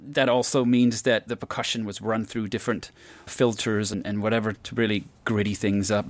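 A man's voice: little pitch movement, moderate pace, dry recording. Composer man in a dry interview. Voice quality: deep voice. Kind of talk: interview answers.

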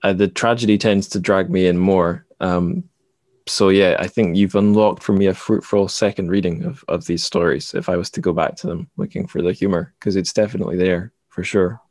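Low-voiced young man hosting, dry close sound. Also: steady tone, a little fast, darkish tone, no room sound. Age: 20s. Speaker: podcast host, interviewer. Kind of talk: casual book discussion.

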